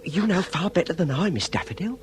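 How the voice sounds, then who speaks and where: Earnest, high-voiced Victorian detective. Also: male voice, very animated, a little fast, a little hiss or hum. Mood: courteous, wary. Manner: formal, melodramatically resolute. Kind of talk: British period radio comedy drama.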